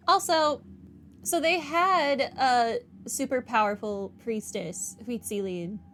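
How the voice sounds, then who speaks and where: A woman's voice: on the high side, a little hiss or hum. A woman giving casual, wry playthrough commentary as she hosts a gaming video. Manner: wry, informal.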